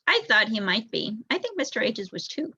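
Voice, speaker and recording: Woman reading as a thoughtful bright-voiced little mouse boy. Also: fairly high pitch, big pitch swings, a little fast, slightly rough, dull audio, heavily acted.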